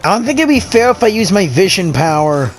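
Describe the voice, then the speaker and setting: A man comments casually on his gameplay. His voice is high for a man, and faint game music runs behind it. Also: very animated, fairly quick.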